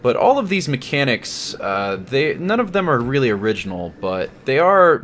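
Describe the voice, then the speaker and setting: A man's voice: medium pitch, big pitch swings, normal speed, a bit bright, a little hiss or hum. A man giving wry, semi-scripted review commentary over video game footage, with a somewhat hesitant delivery.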